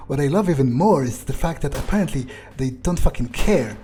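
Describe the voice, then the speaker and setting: A man role-playing an arrogant, brooding vampire in a game playthrough, musing his thoughts aloud in a halting, dryly profane way. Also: medium pitch, expressive, warm tone, slight background noise, natural voice. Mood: wry, musing. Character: brooding, arrogant, blood-hungry male vampire.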